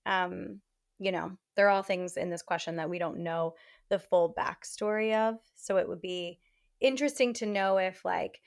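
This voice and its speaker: A woman's voice: lowish voice, moderate pace, creaky. A woman hosting a podcast, chatting frankly and casually and giving relationship advice with many "like" fillers. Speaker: podcast host.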